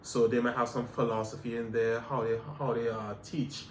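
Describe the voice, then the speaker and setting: A man's voice: medium pitch, flat delivery, moderate pace, reverberant, a little hiss or hum. A man from Canada co-hosting a casual chat, talking in a reflective way with many repeats and fillers. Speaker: podcast co-host.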